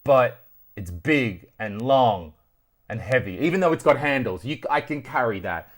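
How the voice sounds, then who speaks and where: A man's voice: medium pitch, animated, measured pace. An Australian man chatting casually to viewers as a video host, telling stories in a conversational, sweary way. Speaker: retro tech video host. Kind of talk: casual storytelling to camera.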